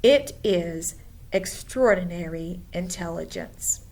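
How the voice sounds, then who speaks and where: Storybook schoolteacher, played by a woman: stern, no-nonsense, emphatic, very measured pace. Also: fairly low pitch, vocal fry, darkish tone, heavily acted. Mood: solemn, emphatic. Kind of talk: storybook character voice.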